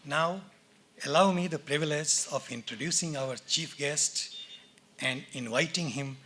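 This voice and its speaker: A man with an Indian English accent, giving a measured, formal read speech at a university convocation from a podium in a lecture theatre. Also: on the high side, noisy background. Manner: measured, formal, reads names and titles deliberately. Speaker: department head speaking at a convocation.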